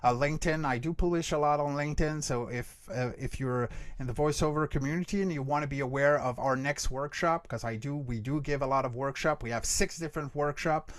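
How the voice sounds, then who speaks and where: Higher-pitched man, explaining casually, dry sound. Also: fairly quick, few pauses, no room sound. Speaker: guest expert, creature voice actor and workshop teacher. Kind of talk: casual explanatory interview answers.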